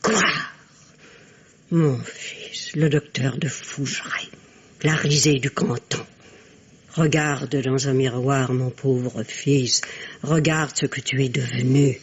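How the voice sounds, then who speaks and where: Old french matriarch in a radio play, husky, haughty, bossy and cutting, lowish for a woman. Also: steady tone, takes it slowly, exaggerated voice. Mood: scornful. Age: older. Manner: imperious, theatrical, cutting. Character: haughty, domineering old French matriarch, scornful of her son. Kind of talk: French radio drama acting.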